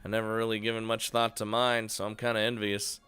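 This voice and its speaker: A man's voice: on the low side, little pitch movement, fairly quick, a bit bright, clean tone, soft background music, natural voice. A man reading the lines of an easygoing, curious teenage boy from a visual novel, casual and a little stumbling. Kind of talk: reading game dialogue aloud.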